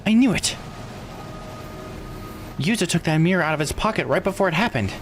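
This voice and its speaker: A man voicing an excitable, earnest young character from a mystery visual novel in an exclamatory way. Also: sits high, brisk, slight background noise, voice pushed up. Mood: excited realization. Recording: game music behind voice.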